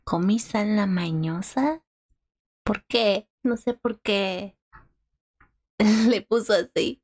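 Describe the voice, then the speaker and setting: A woman's voice: medium pitch, slow pace, darkish tone. A woman casually commenting as she reacts to a video, drawling short murmured asides.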